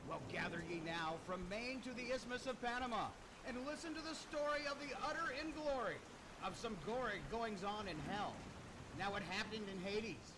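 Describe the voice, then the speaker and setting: Guy hosting live radio play from a studio, pretty high voice, noisy background. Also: normal speed. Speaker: radio host and narrator. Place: radio studio performance room. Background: background noise.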